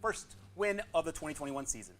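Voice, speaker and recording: A man hosts a football score roundup with an emphatic announcer delivery. His voice sits a little high and moves very quickly, with faint music behind it. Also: big pitch swings, fairly bright tone. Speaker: sports show host.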